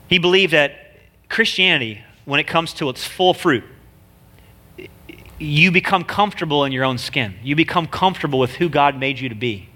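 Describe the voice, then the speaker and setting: A man's voice: on the high side, normal speed, sharp tone. A man with a nasal voice, a guest preacher giving a casual, joking sermon full of slang. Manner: chatty, joking, slangy delivery.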